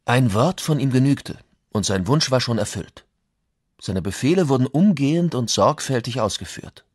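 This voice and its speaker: A Spanish conquistador chronicler, mid-pitched. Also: male voice, lively intonation, normal speed, darkish tone, breathy edge, dry recording, clean studio sound. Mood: solemn. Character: Spanish conquistador recounting what he witnessed at court. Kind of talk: dramatic reading of historical accounts.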